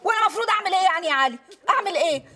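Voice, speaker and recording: A woman's voice: very high-pitched, animated, racing, bright, forceful tone, full character voice. A woman playing an exasperated, scheming Egyptian mother and wife in a TV comedy-drama, with a dramatic, complaining manner. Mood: indignant. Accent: Egyptian Arabic. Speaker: actress. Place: furnished home interior, film set.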